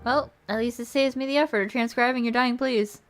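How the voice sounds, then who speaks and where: A woman streamer reading a visual novel aloud as theatrical storybook narration, expressive and playful. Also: fairly high pitch, brisk. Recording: music behind the voice.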